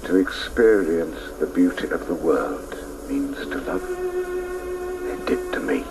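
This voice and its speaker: An actor playing a reflective grown man who recalls his boyhood in lyrical, intense radio play narration, at times hushed. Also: very deep voice, huge pitch swings, takes it slowly, gravelly, over music, exaggerated voice, poor audio. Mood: rapt, awed.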